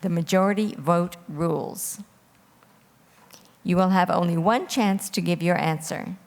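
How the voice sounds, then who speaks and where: A woman moderating a formal quiz competition, giving clear, orderly instructions. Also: on the low side, a little slow, a little mellow. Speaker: quiz host reading rules and judging calls. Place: large hall at a live competition. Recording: echoey hall with long reverb.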